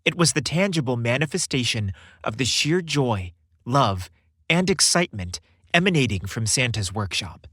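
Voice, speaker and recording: A male narrator delivers scripted storybook prose with dramatic flair. His mid-pitched voice moves in lively swings, and the recording is dry with no room sound. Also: normal speed, breathy edge, dry recording.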